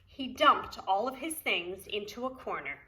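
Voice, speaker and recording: A woman's voice: mid-range voice, expressive, a little slow, bright, forceful tone. A woman preschool teacher reading a story aloud to young children, expressively, with simple explanatory asides.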